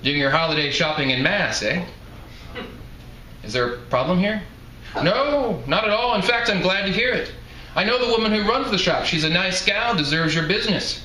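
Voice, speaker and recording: A man's voice: high voice, moderate pace, sharp tone, pitched above the performer's own voice. Guy reading as suspicious bearded local dude, veiled menace, live book reading, roomy, bit of noise. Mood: challenging. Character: bearded small-town man, gruffly suspicious, quietly menacing local. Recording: roomy echoing live venue, some noise.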